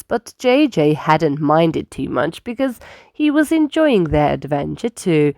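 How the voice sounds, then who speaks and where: Animated woman reading a children's story. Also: sits low, no room sound, clean studio sound. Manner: expressive, dramatic emphasis. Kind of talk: children's read-aloud narration.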